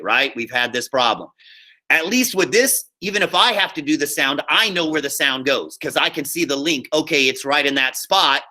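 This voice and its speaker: A man's voice: a little high, pushed and bright. A man teaching drama, giving informal, encouraging step-by-step instruction and often checking that the class follows. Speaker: high school drama teacher. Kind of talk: casual spoken instruction to a class over screen share.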